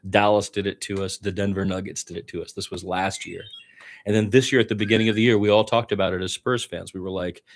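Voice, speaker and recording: A man doing live radio-style basketball play-by-play as a partisan fan, with exasperated asides about his team. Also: fairly low pitch.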